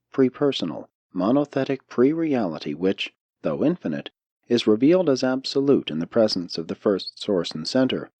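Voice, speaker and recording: Deep-voiced man reading with expressive range. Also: no room sound. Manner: solemn, measured reading. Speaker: audiobook narrator. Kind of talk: scripted narration of a spiritual text.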